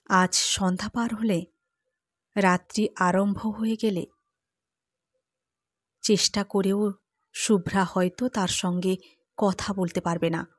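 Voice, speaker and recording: A woman narrating a classic story in a measured way, softening for the eerie passages. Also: medium pitch, no room sound, clean studio sound. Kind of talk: scripted literary narration.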